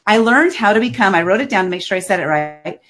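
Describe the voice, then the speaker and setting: A woman's voice: medium pitch, expressive, quick pace, a bit bright, faint music behind. A woman hosting an interview over a video call, chatty and rambling, often laughing. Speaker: podcast host. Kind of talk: casual interview chat.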